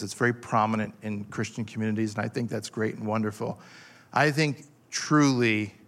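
A man in his forties preaching a passionate sermon, halting with fillers and restarts as he builds his point. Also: on the low side, a bit bright. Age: 40s. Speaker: pastor.

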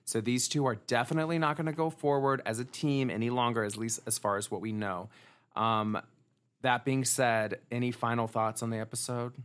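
A man co-hosts a casual podcast and recaps a reality show episode. He talks in a chatty, loose way, full of fillers and false starts. His voice sits at an ordinary middle pitch. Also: fairly even intonation, a bit bright, smooth. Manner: chatty, fast, fillers and repeated restarts. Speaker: podcast co-host.

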